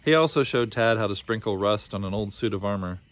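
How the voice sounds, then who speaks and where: Male narrator, low voice, reading a children's storybook. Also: brisk. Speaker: storybook reader.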